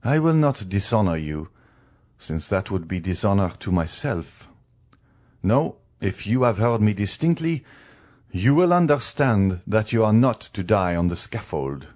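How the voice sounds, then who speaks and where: A man's voice: fairly low pitch, big pitch swings, a little slow, a little mellow. A man playing a stern, merciless magistrate condemning his wife in a cold, commanding, oratorical way in a dramatic story reading.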